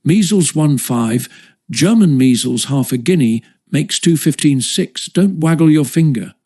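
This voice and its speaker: A man's voice: a little high, lively intonation, measured pace, mellow. A man voicing a fussy, anxious father who mutters sums aloud and breaks off to scold. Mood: stern, calculating. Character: fussy, calculating father, anxious and easily interrupted.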